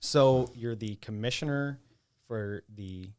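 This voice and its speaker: A man's voice: medium pitch, slow pace, darkish tone, clear, resonant tone. A man with an American accent hosting a casual interview, informal and joking, often at his own expense. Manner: informal, joking, self-deprecating. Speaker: podcast host.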